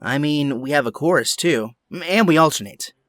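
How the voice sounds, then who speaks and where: A man's voice: a little high, moderate pace, slight background noise. A man playing an upbeat, supportive young superhero friend in an audio drama, talking in an encouraging way. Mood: friendly, encouraging. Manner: encouraging, animated.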